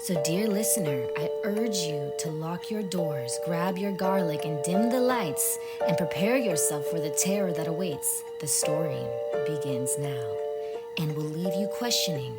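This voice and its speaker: A woman's voice: sits low, expressive, unhurried, loud music behind the voice. A woman horror host giving an ominous, theatrical scripted introduction. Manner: ominous, dramatic.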